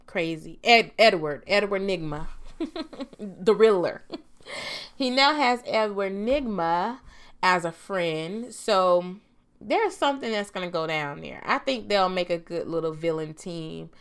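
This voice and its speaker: A woman reviewing a TV episode in a casual, chatty vlog, her voice a bit raspy as she gets over a cold, laughing often. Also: lowish voice, slow, fairly bright tone. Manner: chatty, laughs often, trails off with "I'm just saying". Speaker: TV review vlogger.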